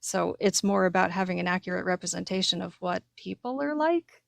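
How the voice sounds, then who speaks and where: An American woman with a slightly low voice and plenty of vocal fry talks casually as a podcast co-host. She is informal and emphatic, circling back to hammer her points. The sound is close and dry. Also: expressive, a little fast, no echo. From Connecticut, United States. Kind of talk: casual conversational discussion.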